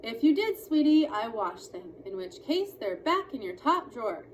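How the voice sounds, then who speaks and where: A woman's voice: on the high side, sing-song, moderate pace. A woman voicing a firm, emphatic, exasperated mother in a storybook reading. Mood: patient. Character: firm, exasperated mother managing squabbling children. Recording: clearly noisy room recording.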